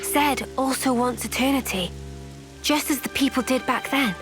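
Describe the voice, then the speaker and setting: A woman's voice: high voice, moderate pace, close to an everyday voice. A woman voicing a curious, cheerful young girl. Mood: earnest. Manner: bright, inquisitive, frank. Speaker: game character Sena. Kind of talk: video game cutscene dialogue. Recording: game cutscene with music behind. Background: game music.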